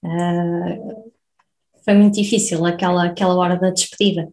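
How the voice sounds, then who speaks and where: A woman's voice: lowish voice, little pitch movement, moderate pace, a little mellow. A woman with a European Portuguese accent hosting an interview, chatty and laughing easily, often checking in with the guest. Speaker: podcast host. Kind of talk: conversational interview.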